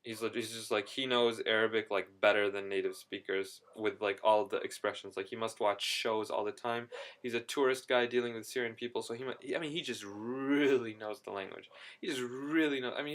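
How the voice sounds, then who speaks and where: A young man in a casual video diary, talking excitedly and rambling with quick restarts. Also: medium pitch. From United States. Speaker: vlogger.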